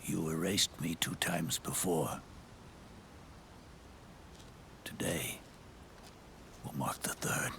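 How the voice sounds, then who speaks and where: Old dying war legend for a game cutscene: low, heavily creaky, weary, halting and solemn. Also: male voice, a bit bright, heavily acted. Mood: weary, grave. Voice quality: gravelly, breathy rasp. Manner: slow, solemn, halting phrases. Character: aged, dying male legendary soldier, weary and remorseful. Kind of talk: cinematic video game monologue.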